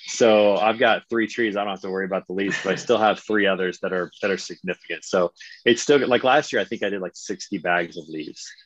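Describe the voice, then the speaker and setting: Low-voiced chatty American man, muffled call. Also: fairly quick, no echo. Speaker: host. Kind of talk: casual chat. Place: indoors at home, on a video call. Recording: dull video-call audio.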